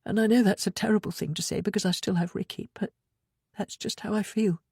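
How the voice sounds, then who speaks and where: A woman voicing a grieving widowed mother in an audiobook, husky, hushed and halting as she confides. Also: medium pitch, steady tone, no echo, studio quality, pitched above the performer's own voice. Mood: sorrowful, confiding. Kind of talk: audiobook character dialogue.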